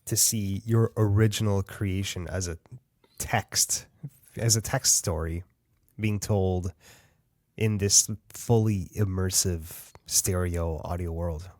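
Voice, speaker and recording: A man's voice: deep voice, fairly even intonation, slow, darkish tone, dry recording. A man hosting an audiobook podcast, interviewing an author in an enthusiastic, chatty way. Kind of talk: casual interview.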